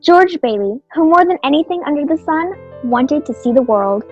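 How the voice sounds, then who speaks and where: A woman's voice: high voice, expressive, moderate pace, no echo, dull audio, soft background music. A woman playing a self-important, gossipy cousin who narrates an old-time radio play. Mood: knowing.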